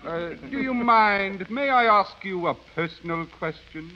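An actor playing a flustered, officious master of ceremonies in a 1930s radio comedy. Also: male voice, high-pitched, expressive, unhurried. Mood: officious, amused. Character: flustered, officious society party announcer. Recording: muffled vintage radio, noisy crowd behind.

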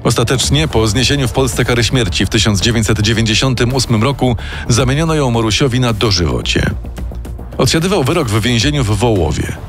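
A man narrates a true-crime story in a measured, dramatic radio style. His pitch moves a lot, and music plays clearly beneath his voice. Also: lively intonation. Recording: narration over loud music.

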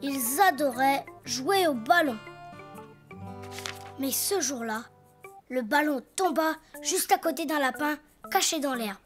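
A performer voicing a cheerful, eager little boy donkey in a children's cartoon, childlike and expressive. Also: high-pitched, takes it slowly, soft background music. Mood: absorbed, playful.

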